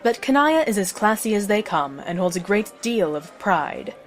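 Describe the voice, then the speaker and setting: Female narrator, mid-range pitch, scripted storytelling, addressing the listener as the main character, soft music bed. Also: animated, moderate pace.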